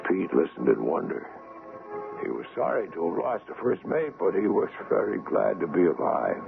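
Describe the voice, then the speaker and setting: A skeptical doctor recounting his adventure in radio drama. His low voice swings expressively in pitch. It comes through a tinny vintage broadcast. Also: big pitch swings, moderate pace, vocal fry, music in the background, heavily acted. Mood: narrating, subdued. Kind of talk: 1970s radio drama acting.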